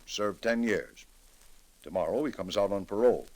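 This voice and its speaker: An actor playing a grave, reflective middle-aged judge in an old-time radio drama, speaking in a measured, deliberate, dramatic way. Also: male voice, fairly low pitch, a little mellow, lightly acted, poor audio. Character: grave, reflective male judge with a troubled conscience.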